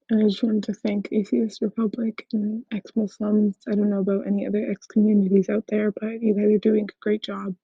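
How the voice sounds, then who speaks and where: A caller leaving a hushed, near-whispered casual voicemail with a flat delivery. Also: medium pitch, husky, no echo, highs cut off.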